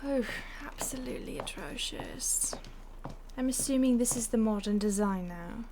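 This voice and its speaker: A woman playing a playful, condescending vampire stalker in an intimate audio roleplay, teasing and haughty in a breathy voice that often drops to a whisper. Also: fairly high pitch, moderate pace, noisy background, natural voice. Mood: playful disdain.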